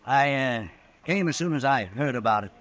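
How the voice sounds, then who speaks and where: A man's voice: mid-range voice, lively intonation, moderate pace, a bit bright, close to an everyday voice. An actor playing a scheming frontier businessman in a radio western, unctuous and formal with hesitant phrasing and an American accent. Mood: falsely sympathetic. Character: smooth-talking, scheming frontier businessman villain. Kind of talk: old-time radio western acting.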